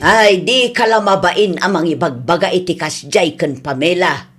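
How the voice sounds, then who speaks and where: A woman's voice: lowish voice, normal speed, over loud music, natural voice. An actress with an Ilocano Filipino accent playing an exasperated, nagging middle-aged mother in a comedy radio drama. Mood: wary.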